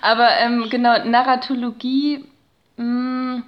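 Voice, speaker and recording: A woman's voice: fairly high pitch, level tone, slow pace, highs cut off. A woman in her thirties speaking as an interview guest, explaining her research field conversationally in loose, winding sentences. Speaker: podcast guest, narratologist and researcher.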